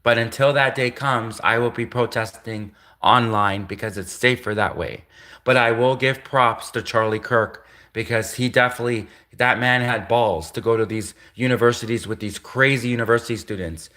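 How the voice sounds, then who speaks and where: Nasal, bright-toned man from Canada, casual livestreamer answering chat, repeats himself. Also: medium pitch. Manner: halting, repeats himself, restarts phrases.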